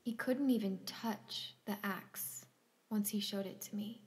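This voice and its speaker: An actress playing a haunted woman with dark, reckless desires in a stage drama, often hushed. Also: mid-range voice, steady tone, a little slow, close to an everyday voice. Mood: quietly confessing. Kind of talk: stage-play dialogue acting.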